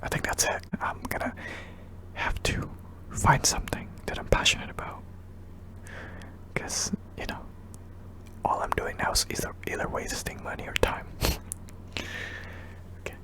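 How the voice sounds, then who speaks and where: A man's voice: measured pace, long pauses, rough recording. Hesitant whispering young man. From Indonesia. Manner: unvoiced whisper, hesitant with many ums. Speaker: ASMR channel host. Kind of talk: whispered ASMR Q&A.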